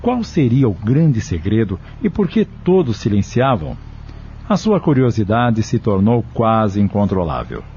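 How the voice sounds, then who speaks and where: A Brazilian man narrates an audio novel from a script. His voice is fairly low, mellow and husky. The recording is a touch muffled. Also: slight background noise.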